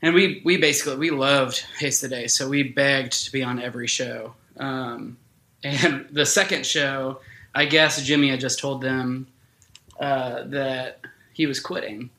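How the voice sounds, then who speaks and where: Bright-voiced man in his twenties, casual storyteller. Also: medium pitch, fairly even intonation, a little slow. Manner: colloquial, informal, frequent "like" and "dude". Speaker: guest, band vocalist.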